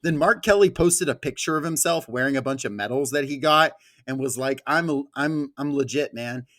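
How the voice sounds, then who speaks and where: A man's voice: medium pitch, moderate pace, a bit bright. Sardonic man, casual political commentary. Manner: sardonic, profane. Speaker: podcast co-host.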